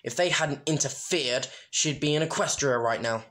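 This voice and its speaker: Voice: medium pitch, normal speed, a bit bright. An amateur reader narrating a story and breaking into informal, self-deprecating asides. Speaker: amateur fan fiction reader.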